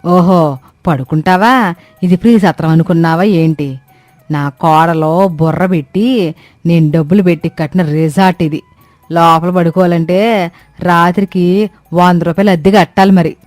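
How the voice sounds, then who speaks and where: A woman's voice: on the low side, fairly even intonation, a little slow, pauses often, darkish tone, no room sound, soft background music, close to an everyday voice. A woman voicing a bossy, stingy village mother-in-law in a cartoon story, with a scolding, exclamatory manner. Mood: haggling, boastful. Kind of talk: Telugu comic cartoon story acting.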